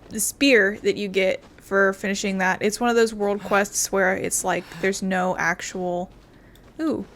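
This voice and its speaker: A woman's voice: medium pitch, fairly bright tone. A young woman giving casual, informal let's play commentary with a wry manner. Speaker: gaming video creator. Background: game music.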